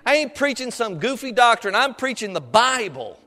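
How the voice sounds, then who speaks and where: A middle-aged man preaches in a high-pitched voice that rings through a long hall reverb. His phrasing is folksy and colloquial. He exhorts the congregation, often checking in with questions and amens. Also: very animated, normal speed, bright, forceful tone. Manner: exhortatory, punctuates points with amen and questions to congregation. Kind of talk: sermon. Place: preaching to a congregation in a large room.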